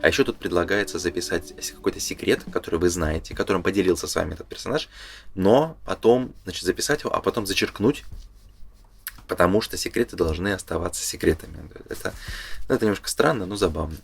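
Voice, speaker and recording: A man's voice: sits low, quick pace, vocal fry, breathy edge. A man giving casual, unscripted explanatory commentary, thinking aloud hesitantly as he reads through a booklet. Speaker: presenter reading through a game booklet.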